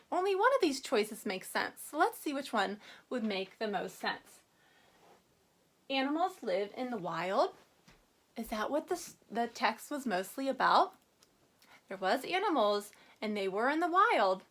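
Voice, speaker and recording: A woman with a fairly high, very animated voice teaches a reading lesson to young children. She speaks as an elementary teacher, stressing key words deliberately. She often prompts her listeners with simple questions.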